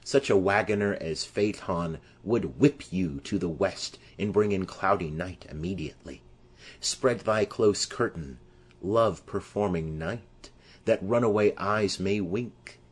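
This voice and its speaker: A man's voice: sits low, unhurried, breathy edge. A man voicing an impassioned, lovesick young noblewoman in declamatory Shakespearean verse for an audiobook. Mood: yearning. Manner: declamatory, poetic.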